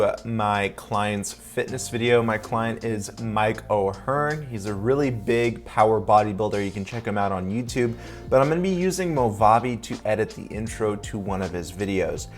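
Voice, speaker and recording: Upbeat man walking through editing software. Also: on the low side. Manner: upbeat, step-by-step explaining. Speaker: video editor and tutorial host. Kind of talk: sponsored software tutorial walkthrough.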